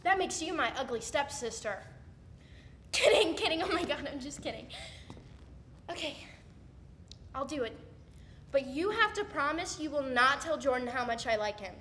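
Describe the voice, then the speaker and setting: A woman performing an amateur monologue as an excitable teenage girl, gushing and wheedling her sibling dramatically. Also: sits high, normal speed, long pauses, slight background noise, voice pushed down. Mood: teasing, bargaining.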